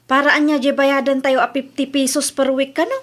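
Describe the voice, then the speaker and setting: A woman's voice: high voice, level tone, brisk, fairly bright tone. A woman playing a reluctant, grumbling village mother with an Ilocano accent in a comedy-drama. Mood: casual.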